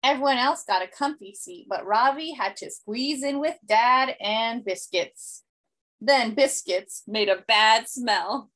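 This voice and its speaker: A woman in her thirties reading a children's picture book aloud expressively, with deliberate, simple phrasing and emphasis. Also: fairly high pitch, a bit bright. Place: small indoor room.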